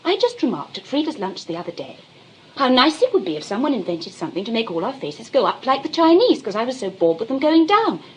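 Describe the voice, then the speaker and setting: A woman's voice: on the high side, sing-song, fast talker, a little hiss or hum, low-quality recording. An actress playing an earnest, indignant young British woman in a 1920s drawing-room comedy, speaking in a prim, reproachful, emphatic way. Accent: British English. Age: young adult. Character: earnest, indignant young Englishwoman, socially anxious daughter.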